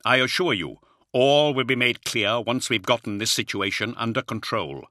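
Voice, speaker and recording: A man voicing a commanding male warrior lord who speaks sternly and forcefully. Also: mid-range voice, no room sound, studio quality, voice pushed up.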